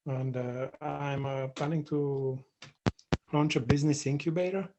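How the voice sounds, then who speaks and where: A man's voice: medium pitch, steady tone. A man from Romania speaking on a video call, introducing himself hesitantly with frequent fillers. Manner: hesitant, pauses often with fillers. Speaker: webinar participant. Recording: video call with background noise.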